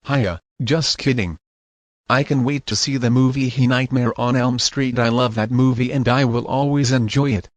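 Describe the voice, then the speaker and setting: A male announcer with a mid-pitched voice reads scripted horror-style news and emergency alerts. The sound is dry and close, with no room reflections. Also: steady tone, moderate pace, no echo.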